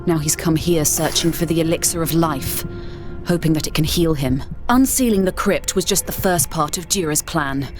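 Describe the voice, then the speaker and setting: Earnest expedition member, voiced by a woman: urgent, mid-pitched, soft score under her. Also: moderate pace, music in the background, natural voice. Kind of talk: video game cutscene acting.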